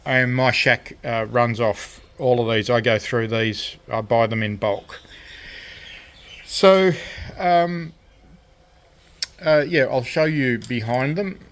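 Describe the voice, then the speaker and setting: A man with a mid-pitched voice presents as a hobbyist at his bench. He speaks very slowly and haltingly, rambling through an unscripted hands-on demonstration. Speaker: DIY electronics hobbyist presenting his project. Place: indoor radio shack workbench.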